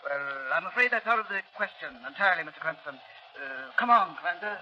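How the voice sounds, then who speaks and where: An actor playing a brisk, courteous but officious army doctor in 1930s radio drama style. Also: male voice, fairly high pitch, lively intonation, pushed and bright. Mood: firm, polite. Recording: muffled, faintly noisy 1930s radio recording.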